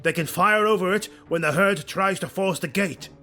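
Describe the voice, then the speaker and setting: A man voicing a ruthless highborn dark elf warlord who shouts commands in a fantasy audiobook. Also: normal speed. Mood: commanding. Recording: music bed under the voice.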